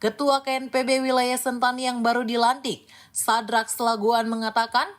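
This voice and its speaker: A woman's voice: a little high, level tone, moderate pace. A woman reading a scripted news narration as the reporter.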